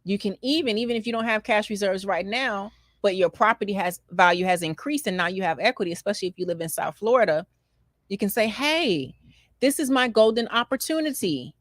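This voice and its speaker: A woman's voice: mid-range voice, a little fast, dry recording, clean studio sound. An American woman hosting a money advice talk, speaking conversationally with emphatic, encouraging delivery and often acting out what people might say. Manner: emphatic, encouraging, acts out imagined remarks. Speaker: talk show host on real estate financing.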